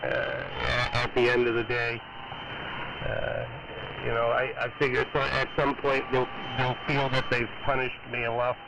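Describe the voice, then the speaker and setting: A man's voice: mid-range voice, monotone, normal speed, vocal fry. A man talking casually and in a rambling, easygoing way as an amateur radio operator. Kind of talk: casual ham radio chat. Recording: noisy amateur radio transmission.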